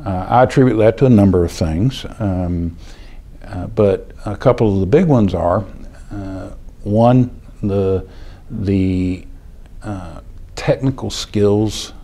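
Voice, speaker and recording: A middle-aged or older American man giving a measured, deliberate explanation in an interview, halting now and then as he phrases things. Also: low-pitched, mellow. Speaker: healthcare administrator, retired air traffic control official.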